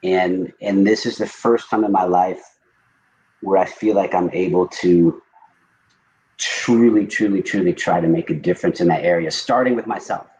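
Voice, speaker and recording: A man's voice: sits low, fairly even intonation, normal speed, a little mellow. A man speaking as a panelist in a reflective, casual conversation, candid and self-deprecating, repeating words for emphasis. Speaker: discussion panelist. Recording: dull, clearly noisy recording.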